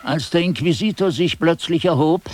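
An actor playing an aged, forgetful monk who recounts haunting events in a radio play, muttering to himself and then exclaiming. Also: male voice, a little high, darkish tone, soft background music, lightly acted. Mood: grave recounting. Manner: halting self-talk, swinging from muttering to exclaiming. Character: aged monk chronicler, forgetful, haunted, musing over his manuscript. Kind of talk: dramatic radio play narration.

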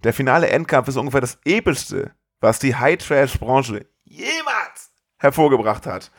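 A man with an average-pitched voice co-hosts a film podcast. He recounts plots in a loose, conversational style. He sounds amused by the material. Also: sing-song, normal speed, no room sound, studio quality. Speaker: podcast host. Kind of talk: film recap, casual and amused.

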